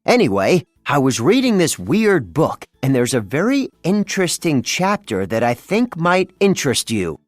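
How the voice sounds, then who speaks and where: A reader acting male mad scientist, cartoon storybook villain, theatrical, rambling, exasperated, very animated, dry close recording. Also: medium pitch, normal speed, few pauses, no room sound, soft background music, close to an everyday voice. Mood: conversational scheming.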